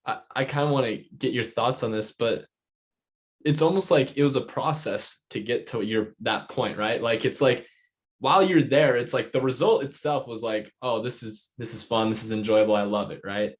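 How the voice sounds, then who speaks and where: A man's voice: mid-range voice, normal speed. A man hosting a casual interview podcast, rambling and enthusiastic, peppering his sentences with "like". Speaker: podcast host.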